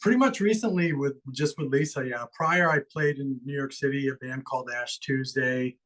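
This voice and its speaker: A man's voice: medium pitch, moderate pace, a bit bright. A man from Ecuador, a musician guest, talking earnestly and gratefully in a rambling way. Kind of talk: casual interview chat.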